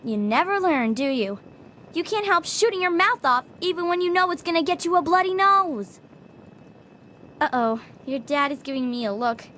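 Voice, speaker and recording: High-voiced, spirited young girl. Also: expressive, normal speed, pushed and bright, slight background noise, heavily acted. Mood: annoyed, teasing. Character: spirited, friendly ten-year-old girl, protective best friend. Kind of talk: video game acting.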